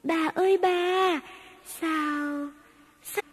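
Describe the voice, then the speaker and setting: A woman voicing a small, innocent little girl in a cartoon, speaking in a very high childlike voice. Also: steady tone, drawn-out words, exaggerated voice. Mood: curious. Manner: very high, childlike, shrill when scared. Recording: reverberant with background music.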